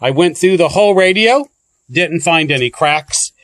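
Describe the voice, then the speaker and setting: A man's voice: fairly high pitch, animated, moderate pace, fairly bright tone, no echo. A man giving a plainspoken, informal walkthrough as a product reviewer.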